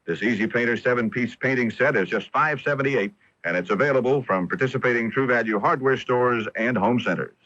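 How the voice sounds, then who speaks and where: A dry, slightly muffled AM radio tape of a male announcer. He reads a retail ad in a bright, punchy voice of medium pitch. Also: brisk, no room sound. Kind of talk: scripted retail ad read. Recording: dull AM radio broadcast tape.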